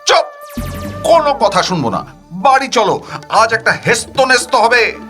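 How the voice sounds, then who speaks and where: Man putting on a shrill, furious scolding wife. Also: big pitch swings, unhurried, pushed and bright, soft background music, full character voice. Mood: angry, indignant. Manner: shrill, indignant, haranguing. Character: angry, scolding married woman accosting a supposed husband.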